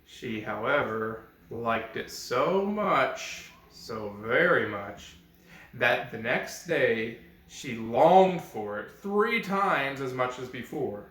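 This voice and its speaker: Slow, expressive male storyteller in echoey room. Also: mid-range voice. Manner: expressive, dramatic, deliberate phrasing. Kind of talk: scripted fairy-tale narration. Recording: echoey, roomy sound.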